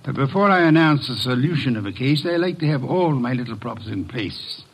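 A man's voice: medium pitch, lively intonation, brisk, gravelly, slightly rough, rough recording. A man playing a pompous, cultured, imperious detective in an old-time radio drama, with precise and ornate phrasing. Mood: cool, controlled.

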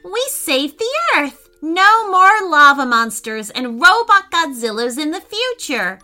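Woman voicing an excitable, high-pitched clever boy adventurer. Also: measured pace, soft background music, exaggerated voice. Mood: triumphant. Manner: eager, exclamatory. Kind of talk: children's storybook character voice.

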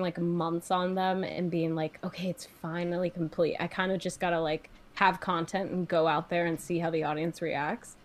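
A young woman, a singer-songwriter, chatting casually as an interview guest, full of "like" and acting out her own reactions. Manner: chatty, fillers, acts out her own reactions with rising pitch.

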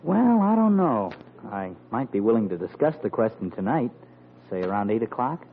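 Suave young man, radio sitcom. Courteous, self-assured, animated intonation. Thin vintage recording. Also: medium pitch, normal speed, narrow, tinny audio, a little hiss or hum. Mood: charming. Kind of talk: old-time radio comedy acting.

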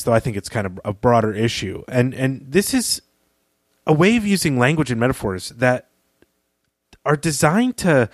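A man with a medium-pitched voice hosts a podcast, analysing fiction conversationally. The recording is dry, with the voice close on the mic. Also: no echo. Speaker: podcast host.

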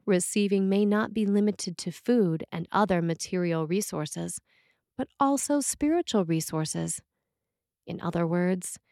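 A woman delivers a written sermon in a medium-pitched voice. Her phrasing is measured and deliberate, as a preacher reading from a script. The sound is dry, with little room around it. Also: dark tone, dry recording.

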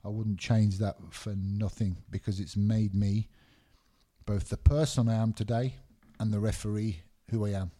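A middle-aged man from Scotland who grew up in England, speaking with a dark, mellow tone. He tells long, meandering stories with frequent fillers and restarts, in a relaxed podcast chat. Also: fairly low pitch, normal speed. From Scotland, raised in England. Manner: candid, rambling storyteller with many fillers. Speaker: MMA referee, guest. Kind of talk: casual podcast interview.

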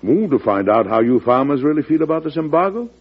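A male actor playing a weary, earnest early American president in an old-time radio drama, speaking in a measured, formal way. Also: fairly high pitch, big pitch swings, husky. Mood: genial, weary. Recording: muffled vintage radio recording.

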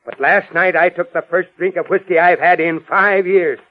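A man's voice: sits high, steady tone, moderate pace, close to an everyday voice. A middle-aged man playing a hot-tempered, frazzled newspaper boss in an old radio drama, exasperated and sputtering, repeating himself emphatically. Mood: rattled. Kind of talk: 1940s-50s radio drama acting. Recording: dull vintage radio recording.

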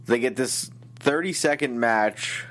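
A man's voice: medium pitch, a little slow, a bit bright. Man ranting about wrestling booking. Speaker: wrestling recap co-host. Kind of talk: casual opinionated chat.

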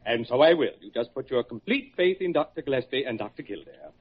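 A man's voice: medium pitch, sing-song, fast, breathy edge. A middle-aged man playing a fussy, unctuous hospital chief in a 1940s radio drama, effusive and pompous. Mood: soothing, insistent. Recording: dull vintage radio recording.

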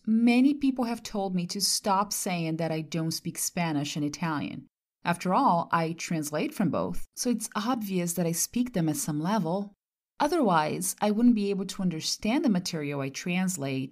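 A woman from Brazil, a native Portuguese speaker, hosts her own podcast and talks through her thoughts in a measured, reflective way. Her voice sits a little low for a woman. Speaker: podcast host. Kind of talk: personal monologue.

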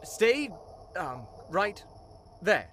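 An actor playing a bumbling, self-important warlock in a comic fantasy audio drama, nervous and hesitant, stammering. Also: male voice, sits high, big pitch swings, drawn-out words, a bit bright, slight background noise, full character voice. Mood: nervous boasting.

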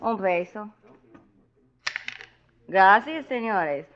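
An actress playing a polite, coy young Mexican waitress with a Spanish accent, in a 1940s radio drama. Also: female voice, mid-range voice, animated, drawn-out words, a little hiss or hum. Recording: muffled old 1940s radio recording.

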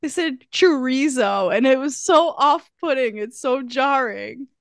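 A woman's voice: high-pitched, a little slow, creaky, no echo, studio quality. A young woman co-hosting a podcast, chatting casually with many fillers and restarts. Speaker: podcast co-host. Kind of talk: casual chat.

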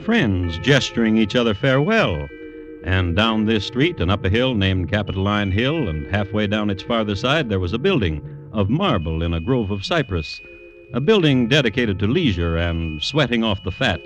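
A man playing the wry narrator of a classic radio drama, telling the story in unhurried, literary phrases. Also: on the low side, very animated, faint music behind, low-quality recording. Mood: wry scene-setting.

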